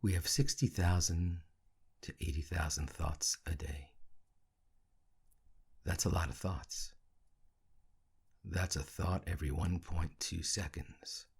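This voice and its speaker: Male meditation guide: very raspy, breathy and creaky, hushed delivery in short, spaced-out phrases. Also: sits low, fairly even intonation. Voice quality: gravelly, husky. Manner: hushed, soothing, with long pauses between short phrases. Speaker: meditation teacher.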